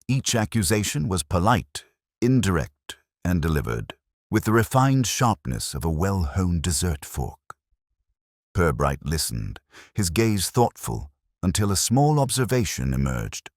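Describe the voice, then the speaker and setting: A man narrating a cosy mystery story in a measured, formal, literary manner. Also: sits low, very animated, no room sound, studio quality. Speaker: audiobook narrator.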